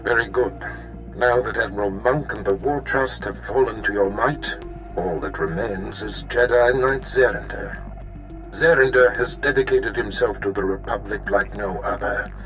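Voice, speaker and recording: A man playing an imperious, scheming Sith lord in a video game, grave, deliberate and formal. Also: on the low side, music in the background, close to an everyday voice, low-quality recording. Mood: cold, menacing. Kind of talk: scripted video game dialogue acting.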